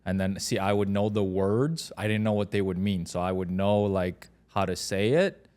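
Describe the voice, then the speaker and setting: A man's voice: low voice, fairly even intonation, moderate pace, a little mellow. A man from Poland, Polish his first language, co-hosting a podcast in hesitant casual chat, full of "like" and "right". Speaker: podcast co-host.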